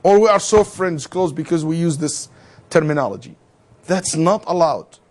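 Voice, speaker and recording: Animated high-voiced male lecturer. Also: normal speed. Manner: animated, asks the class rhetorical questions; joking asides with students. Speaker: lecturer teaching theology. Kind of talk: classroom lecture. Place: classroom.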